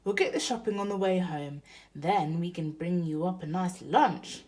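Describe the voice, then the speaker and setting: A woman's voice: on the low side, normal speed, darkish tone, lightly acted. Woman acting a hearty, take-charge storybook dad.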